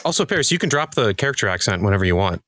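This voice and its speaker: A man's voice: fairly low pitch, very animated, racing, noisy background. A man chatting casually as a podcast cast member, with a wry, dry sense of humor. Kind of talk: casual chat.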